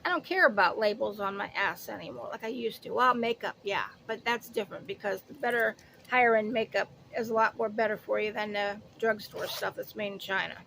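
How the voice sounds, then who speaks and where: A woman's voice: medium pitch, fairly quick, creaky, few pauses, fairly bright tone, slight background noise, rough recording. A woman in her late fifties chatting casually through a shopping haul, rambling and interrupting herself as she goes. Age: late 50s. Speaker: haul vlogger. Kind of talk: casual product haul chat.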